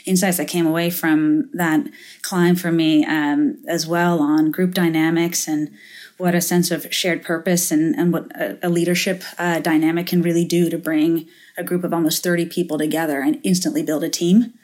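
A woman's voice: deep voice, flat delivery, normal speed, darkish tone. A middle-aged woman from Finland, a venture capitalist guest in an interview, talking thoughtfully with many fillers and restarts. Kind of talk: interview conversation.

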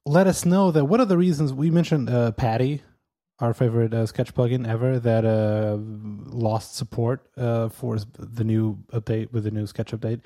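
Mellow-voiced man, halting. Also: medium pitch, steady tone. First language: Portuguese. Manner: hesitant, full of fillers, chuckles often. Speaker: podcast co-host. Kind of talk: casual podcast chat.

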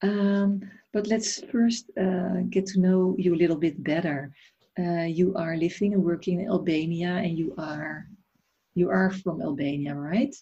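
A woman's voice: fairly low pitch, steady tone, unhurried, dull audio. A woman hosting an interview podcast, introducing her guest and asking questions in an admiring, conversational way. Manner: warmly admiring, conversational with restarts. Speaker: podcast host.

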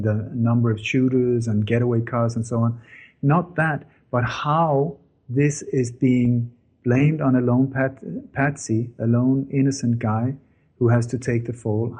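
A man's voice: mid-range voice, darkish tone, breathy edge. A middle-aged man, telling his story to an interviewer in an earnest way with many fillers and self-corrections. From born in Denmark, grew up in Sweden. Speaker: interview guest, former journalist.

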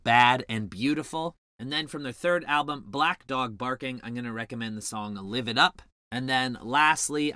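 A man's voice: mid-range voice, pushed and bright, no room sound. A young man hosting a podcast, upbeat and thankful, sounding slightly nasal as he gets over a cold. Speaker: podcast host. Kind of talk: podcast intro and interview.

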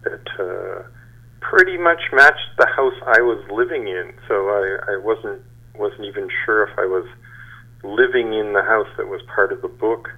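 A man in his sixties giving halting, measured answers as an interview guest. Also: fairly low pitch, gravelly. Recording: dull band-limited phone-like sound.

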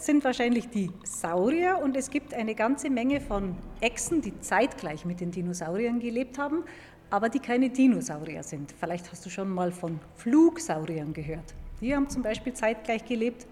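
A woman explaining science to a young listener in a clear, didactic way, stressing key words by repeating them. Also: medium pitch, darkish tone. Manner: clear, didactic, emphatic repetition. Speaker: museum paleontologist. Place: large echoing indoor museum hall. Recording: reverberant hall with background noise.

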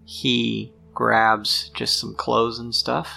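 A man's voice: mid-range voice, flat delivery, drawn-out words, gravelly, bright, forceful tone. A man narrating an improvised tabletop roleplaying game as game master. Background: music.

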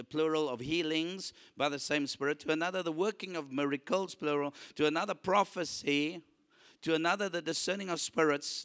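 Bright-voiced Afrikaans-speaking man preaching. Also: on the high side. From South Africa. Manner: animated, calls for audience response. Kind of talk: sermon.

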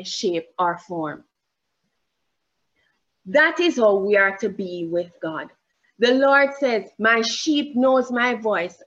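A woman with a Jamaican accent preaching a sermon in church, deliberate and emphatic, rising on her questions and pausing with fillers as she searches for words. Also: medium pitch, fairly bright tone, highs cut off.

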